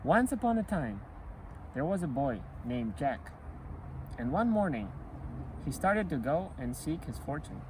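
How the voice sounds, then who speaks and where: Man telling children's tales, very lively intonation. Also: a little high, a little slow, long pauses, slight background noise, rough recording. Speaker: storyteller reading a folk tale. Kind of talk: scripted children's storytelling narration.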